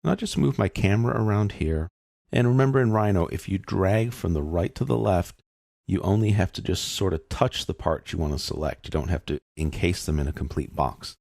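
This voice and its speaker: Deep, gravelly man teaching software. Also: fairly quick, dry recording, studio quality. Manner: calm, step-by-step explaining. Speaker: software instructor. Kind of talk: screen-recorded tutorial narration.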